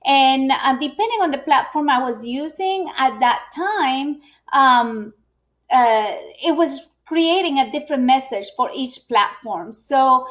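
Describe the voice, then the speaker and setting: Middle-aged woman, high voice, chatty live-stream speaker who restarts often. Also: lively intonation, a little slow, fairly bright tone. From Ecuador. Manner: chatty, frequent fillers and restarts. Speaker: business owner and speaker. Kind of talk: live video presentation.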